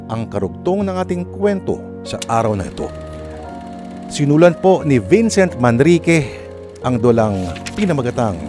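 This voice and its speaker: A man with a Filipino accent hosting a radio drama with theatrical, rhetorical scripted narration. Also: medium pitch. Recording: music under the voice.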